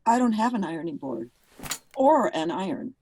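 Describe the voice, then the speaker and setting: A woman's voice: medium pitch, big pitch swings, moderate pace, dark tone, slight background noise, natural voice, low-quality recording. A woman acting in a radio play as an exasperated, blunt wife and mother with a curt, pointed manner. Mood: dry, defensive.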